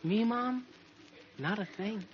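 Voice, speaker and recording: An actor playing a flustered, lovestruck, excitable teenage boy with a stammering, earnest manner in a vintage radio sitcom. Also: high-pitched, takes it slowly. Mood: defensive. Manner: gushing, stammering, gee-whiz earnestness. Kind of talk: 1940s radio sitcom acting. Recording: dull, noisy old radio recording.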